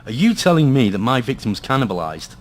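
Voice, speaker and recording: A man's voice: medium pitch, very animated, fast talker, faint music behind, natural voice. An actor playing a gloomy hard-boiled male detective, narrating and talking in a noir radio play with brooding drama. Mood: puzzled, uneasy.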